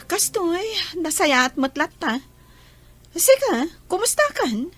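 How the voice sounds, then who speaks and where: A woman's voice: very high voice, very animated, takes it slowly, fairly bright tone, heavily acted. An actress with a Filipino accent playing a dutiful young woman, an eldest daughter troubled in love, in a radio drama. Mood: warm, chatty.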